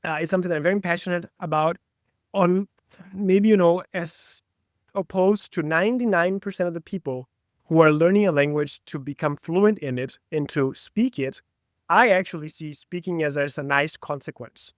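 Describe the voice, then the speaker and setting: A man's voice: fairly high pitch, normal speed, no echo, clean studio sound. A man from Brazil whose first language is Portuguese, talking eagerly as a podcast guest in a casual interview. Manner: enthusiastic, talkative.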